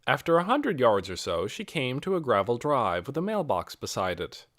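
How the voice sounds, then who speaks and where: Male audiobook narrator for children's fiction, expressive and lively in pitch, very dry recording. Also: moderate pace, dry recording. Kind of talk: scripted narration of a children's novel.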